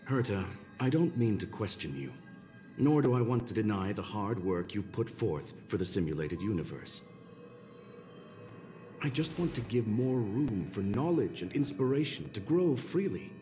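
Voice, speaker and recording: A rational, courteous robot scholar speaks in a low, very mellow voice. Each phrase is formal and measured, with a calm regret, as in a video game scene with light music behind. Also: male voice. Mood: calm, regretful. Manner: formal, measured, precise diction.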